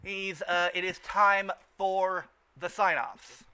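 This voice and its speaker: Bright, forceful man, halting podcast host. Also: sits high, flat delivery, a little hiss or hum. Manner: halting, with stammered repeated words. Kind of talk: casual unscripted podcast chat.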